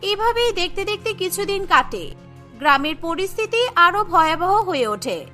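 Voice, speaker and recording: A woman's voice: very high voice, very animated, moderate pace, sharp tone, faint music behind. A woman narrating a cartoon story in a scripted storytelling style.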